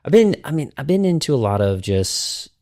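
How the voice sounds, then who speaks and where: A man's voice: medium pitch, very animated, normal speed, dark tone, no room sound, studio quality. A man guest talking in an easygoing way about his design work, with many fillers. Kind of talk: casual podcast conversation.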